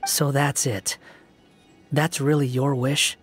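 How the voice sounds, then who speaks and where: A man's voice: mid-range voice, flat delivery, slow pace, breathy edge, faint music behind. A man voicing an aloof, cynical young wanderer in a video game, with a dry, detached, sardonic delivery. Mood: quiet, sardonic.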